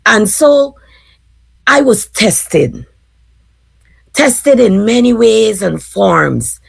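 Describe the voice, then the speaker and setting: A woman in her forties with a Guyanese accent speaks slowly and thoughtfully as a panel guest, pausing with frequent fillers. Her voice is gravelly and slightly husky, a little lower than most. The sound is dry and close. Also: lively intonation, dry recording. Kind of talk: casual panel discussion.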